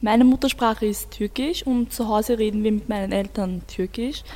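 A teenage student, steadily reading a prepared statement on school radio. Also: female voice, mid-range voice, warm tone.

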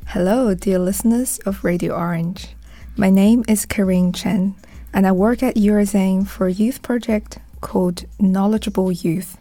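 A woman's voice: mid-range voice, warm tone. A woman who coordinates a youth project, reading a prepared introduction for a radio program. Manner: reads a prepared script.

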